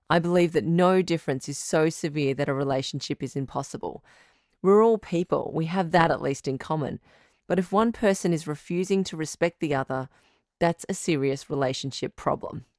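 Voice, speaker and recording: A woman playing a calm, supportive radio advice doctor in an audio drama, speaking in a measured, articulate, professional counselling tone. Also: deep voice, no room sound, studio quality, natural voice. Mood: welcoming, professional. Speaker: radio advice show host, sex therapist.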